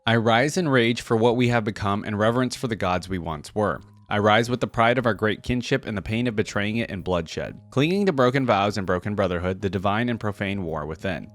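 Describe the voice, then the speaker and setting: A man's voice: fairly low pitch, lively intonation, brisk, few pauses, faint music behind. A man hosting a gaming reaction channel, commenting and reading aloud in a close, dry recording. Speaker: YouTube channel host reacting to game lore.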